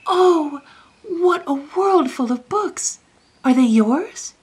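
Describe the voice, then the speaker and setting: A woman voicing an eager, curious young boy king in a storybook, impatient and quick to interrupt. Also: medium pitch, sing-song, breathy edge, voice pushed up.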